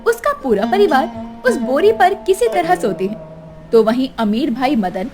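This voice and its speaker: High-voiced woman narrating a dramatic story. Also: sing-song, unhurried. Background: clear music.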